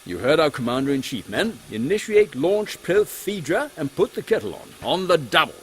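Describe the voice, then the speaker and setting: A man playing a brisk officer barking urgent orders in a steampunk audio comedy. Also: on the high side, very animated, a bit bright, slight background noise. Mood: commanding.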